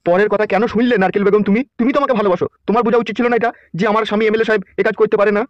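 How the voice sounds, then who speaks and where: A man acts out a crude, arrogant male politician for a comedy cartoon. His voice sits high and rattles along very quickly, brusque and dismissive, in dry, slightly lo-fi sound. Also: steady tone, no room sound, natural voice. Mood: defensive. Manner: brusque, threatening. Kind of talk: amateur Bengali comedy cartoon dialogue.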